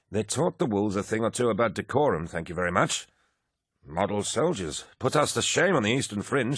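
A man's voice: fairly low pitch, a bit bright, husky, dry recording, studio quality, voice pushed up, heavily acted. A man voicing an aging, pompous male officer who rambles and declares things with great self-importance. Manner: blustering, declaring.

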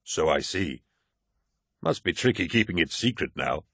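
A man's voice: low voice, sing-song, moderate pace, a bit bright, breathy edge, dry recording, heavily acted. A suspicious, hot-headed warrior captain, played by a male audiobook reader. He talks curtly and with open menace. The sound is very dry and close. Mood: wry suspicion. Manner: curt, menacing. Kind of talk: dramatic audiobook character voice.

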